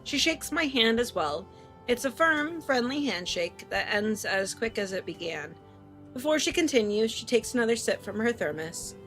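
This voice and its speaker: A woman streamer reading a visual novel aloud and commenting casually, animated, expressive and free with swearing. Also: fairly high pitch, normal speed, poor audio. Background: game music.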